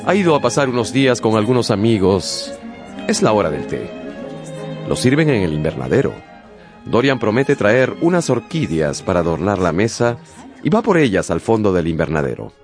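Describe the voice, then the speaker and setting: A man narrating a radio novel in a dramatic, suspenseful style with measured phrasing. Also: fairly low pitch, sing-song, music in the background. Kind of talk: dramatic scripted audiobook narration.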